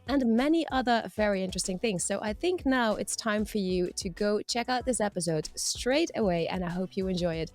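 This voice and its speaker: A woman's voice: mid-range voice, lively intonation, fairly quick, hardly any pauses, darkish tone. Woman hosting a professional podcast. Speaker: podcast host and interviewer. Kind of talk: scripted intro and interview questions.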